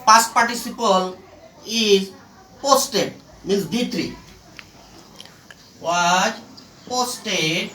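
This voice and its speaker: A teacher giving a step-by-step grammar lesson in short, halting phrases, asking and answering their own questions, recorded from a distance in an echoey room. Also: medium pitch, sharp tone, a little hiss or hum. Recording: echoey room, far from the mic.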